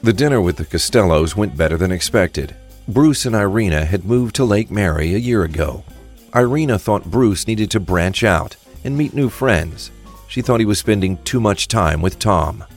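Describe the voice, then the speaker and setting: Male narrator, very low pitch, scripted audio drama storytelling, soft music underneath. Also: lively intonation, moderate pace.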